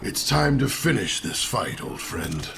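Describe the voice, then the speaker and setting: A gravelly veteran warrior. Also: male voice, fairly low pitch, sing-song, a little slow, noisy background, heavily acted. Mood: steady.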